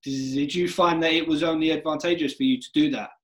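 A man's voice: fairly high pitch, steady tone, a little fast, a bit bright. Earnest male podcast host. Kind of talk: interview chat.

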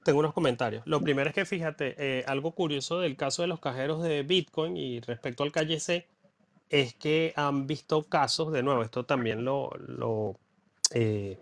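A man's voice: medium pitch, moderate pace. A man hosting a casual podcast chat, talking in a chatty, digressive way. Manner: chatty, digressive, laughs easily. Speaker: podcast host.

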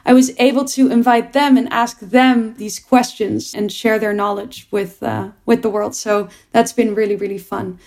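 A woman's voice: a little high, fairly even intonation, normal speed, few pauses, a little mellow. A young adult woman, a producer and entrepreneur, answering interview questions in an earnest, reflective way with frequent restarts.

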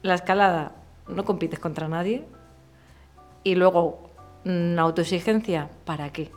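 A woman in her thirties from Murcia with a softened Murcian accent, a guest talking candidly and casually in an interview, full of slang and fillers. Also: on the low side, measured pace, faint music behind. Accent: softened Murcian Spanish. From Murcia, Spain. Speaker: podcast guest, illustrator.

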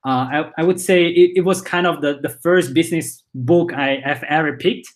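A man in his twenties, born in China, with a Spanish accent and Chinese as his first language, speaks as a startup founder in a casual interview, halting often with repeated words and fillers. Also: fairly high pitch. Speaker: startup founder and CEO, guest.

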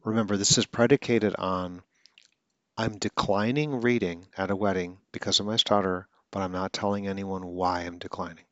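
A very deep-voiced man talks through a coaching podcast in an earnest way, with occasional stutters and restarts. The sound is dry and close, with a slightly dull, lo-fi quality. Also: steady tone, normal speed, a bit bright, no room sound. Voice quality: stutters now and then. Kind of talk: instructional podcast monologue.

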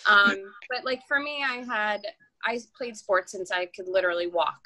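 Bright-voiced woman hosting a chat. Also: medium pitch, moderate pace, rough recording. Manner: relaxed, many "um" fillers, easy teasing. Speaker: podcast host. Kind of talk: casual podcast conversation.

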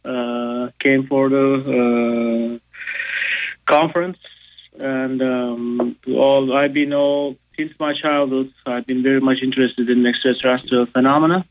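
A man's voice: mid-range voice, steady tone, takes it slowly, narrow, tinny audio, a little hiss or hum. A man in his thirties with a Turkish accent, a guest on a radio talk show, speaking hesitantly with many fillers. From Turkey. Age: 30s. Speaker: guest, economics professor. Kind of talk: casual interview.

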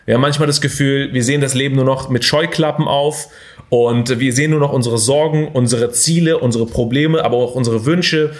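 A young man hosting a motivational podcast, energetic and full of fillers and English buzzwords. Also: mid-range voice, fairly quick. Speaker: podcast host. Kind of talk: casual motivational talk.

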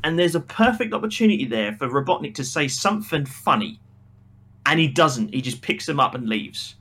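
A man's voice: a little high, animated, brisk, a bit bright, breathy edge. A man co-hosting a casual podcast, giving critical, opinionated takes on a film. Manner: critical, acts out imagined quotes. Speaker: podcast co-host reviewing a film.

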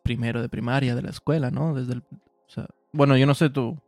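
A man's voice: mid-range voice, fairly even intonation, fairly quick, a little mellow, dry recording, faint music behind. A man hosting a podcast, chatty and joking as he asks his guest questions. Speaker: podcast host. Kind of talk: casual interview chat.

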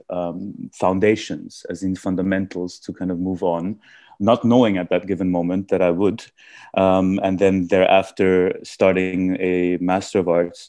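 A man from India, raised in Austria, answering podcast interview questions in a halting way with many fillers and restarts. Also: sits low, mellow, no echo. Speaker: guest.

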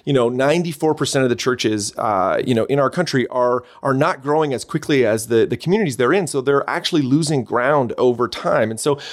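A middle-aged Canadian man talking quickly and brightly in a relaxed interview. His pitch sits in an ordinary middle range while he rattles through stories with lots of fillers and restarts. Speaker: podcast guest, church consultant. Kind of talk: casual interview chat.

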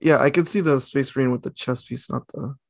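A man's voice: medium pitch, fairly quick, gravelly, darkish tone, dry recording, clean studio sound. A young man co-hosting a casual podcast, rambling and correcting himself as he talks. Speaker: podcast co-host. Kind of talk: casual chat.

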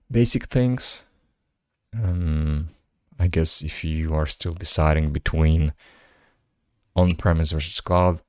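Low-voiced man, Slovak native speaker. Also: a little mellow, no room sound, studio quality. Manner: casual, musing asides between read passages. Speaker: podcast host. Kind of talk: reading articles aloud with commentary.

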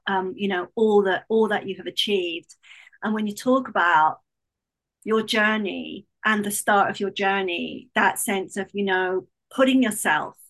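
A woman hosting a podcast interview, effusive and gushing as she introduces and responds to her guest. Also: medium pitch. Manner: warm and effusive, with gushing praise. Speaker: podcast host.

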